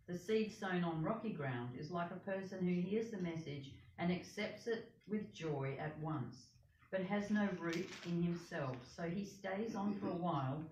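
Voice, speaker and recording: Low-voiced woman reading, distant and echoey. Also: a little mellow. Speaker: scripture reader. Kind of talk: reading scripture aloud. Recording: dull, echoey, distant with faint hiss.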